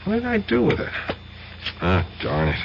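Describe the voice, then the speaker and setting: An actor playing a tough, wry undercover agent in an old radio mystery. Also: male voice, high voice, wildly swooping pitch, moderate pace, gravelly, full character voice. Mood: fumbling, offhand. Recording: muffled, very noisy old radio recording.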